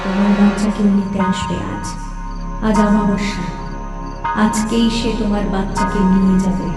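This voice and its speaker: A woman's voice: medium pitch, flat delivery, moderate pace, natural voice. An actress voicing the ghost of a young wife who was killed with her children, urgently warning her sister-in-law to flee. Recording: echoey, distant, long reverb over music.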